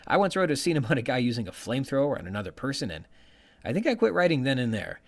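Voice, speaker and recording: Male podcast host, medium pitch with very animated intonation, bookish, reading analytical notes and quotations. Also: fast talker. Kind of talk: literary commentary reading from prepared notes, quoting passages.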